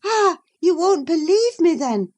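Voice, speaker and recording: A woman's voice: extremely high pitch, very animated, a little slow, a little mellow, pitched above the performer's own voice, heavily acted. A woman voicing a proud, scornful young woman who speaks bluntly and emphatically. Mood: defiant.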